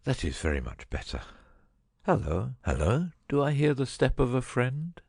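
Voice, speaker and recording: An actor playing a cunning detective with a husky voice in a dramatic audiobook reading. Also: male voice, medium pitch, very animated, moderate pace, a little mellow, no echo, studio quality, exaggerated voice. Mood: composed, wry. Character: cunning male detective, first feigning a dying illness, later brisk.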